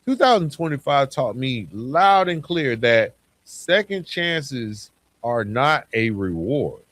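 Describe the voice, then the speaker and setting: A man's voice: mid-range voice, sing-song, slow, a bit bright, no room sound. A man hosting a solo podcast in a reflective, conversational and earnest motivational way, repeating phrases for emphasis, close to the microphone. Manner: conversational, frequent rhetorical questions and repetition for emphasis. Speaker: podcast host.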